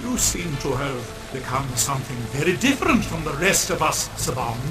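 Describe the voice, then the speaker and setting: A man's voice: fairly high pitch, sing-song, moderate pace, reverberant, music in the background. A man playing an outraged, defiant council member in a video game cutscene, with theatrical, oratorical delivery. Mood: pointed, accusing.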